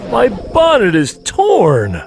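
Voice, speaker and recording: A man voicing a boastful, excitable, grumbling toad in a theatrical storybook manner. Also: high-pitched, slow, vocal fry, faint music behind, exaggerated voice. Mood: weary, complaining. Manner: theatrical, exclaiming.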